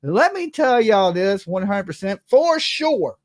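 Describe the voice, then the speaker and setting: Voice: medium pitch, big pitch swings, a little slow. Casting: livestream gossip commentator, mid-range voice, brash and sweary, conversational, punctuates points with "right?" and "okay?". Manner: brash, profane, tags statements with "okay?" and "right?". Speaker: livestream host.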